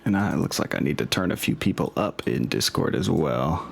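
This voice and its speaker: A man's voice: very deep voice, lively intonation, a little fast, vocal fry. A man chatting casually as game master while setting up, with an informal, slangy and apologetic manner. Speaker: game master and host of a tabletop campaign. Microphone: online voice chat.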